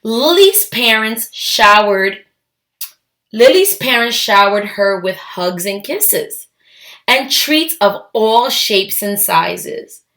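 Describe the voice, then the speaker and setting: A woman reading a children's story aloud, expressive and emphatic, with deliberate phrasing. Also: fairly high pitch.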